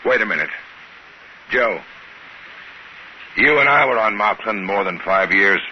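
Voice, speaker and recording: A man's voice: fairly low pitch, lively intonation, a little slow. An actor playing an uneasy, suspicious spaceship crewman in 1950s radio drama style, on a muffled and noisy old recording.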